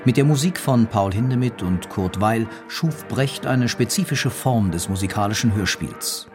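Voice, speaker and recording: A man's voice: lowish voice, lively intonation, normal speed, over music. A man delivering scripted narration for a historical radio documentary.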